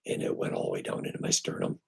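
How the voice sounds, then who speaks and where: A man with weak, breathy and raspy vocal cords, hoarse and short of breath, hosting a casual live stream from home on his computer and talking haltingly with many fillers. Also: rumbling low. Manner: halting, with many fillers and restarts, lively when greeting viewers. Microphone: computer microphone.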